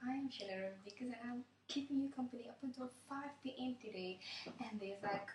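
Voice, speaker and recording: A woman's voice: fairly high pitch, a little fast, darkish tone, roomy sound, distant mic, slight background noise, poor audio. A young woman hosting a radio interview, chatty and giggly, with many fillers. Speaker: radio host.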